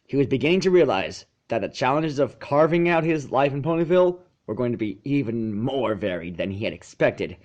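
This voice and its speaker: Male storyteller, mid-pitched and highly animated, dramatic emphasis, expressive read-aloud narration. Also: fairly quick, hardly any pauses, muffled recording. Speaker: fan fiction narrator.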